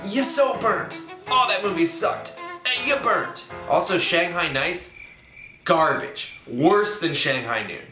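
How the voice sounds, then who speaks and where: A man's voice: high voice, lively intonation, slow pace. A man presenting a comedic scripted explainer in a theatrical, sarcastic way with sudden exclamations. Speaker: comedic web video host. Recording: roomy sound under loud music. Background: loud music.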